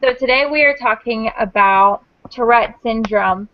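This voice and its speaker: A woman in her twenties hosting a casual group chat, explaining things in a measured way with small restarts. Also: fairly high pitch, slight background noise. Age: 20s. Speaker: host of a panel discussion. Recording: dull, dry lo-fi sound.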